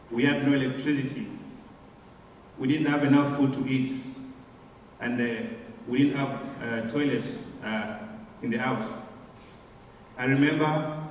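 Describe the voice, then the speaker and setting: A man in his twenties with a Kenyan accent, giving a personal testimony speech full of hesitant filler pauses. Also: medium pitch, slight background noise. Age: 20s. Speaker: guest speaker. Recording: echoey hall from far off.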